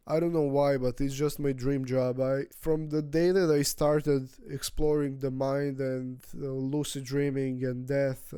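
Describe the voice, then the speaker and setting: A man in his twenties, not a native English speaker, talking through his feelings in a rambling, halting personal vlog with frequent restarts. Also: mid-range voice, mellow, clean tone, dry recording. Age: 20s. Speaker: vlogger. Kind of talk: casual personal vlog monologue.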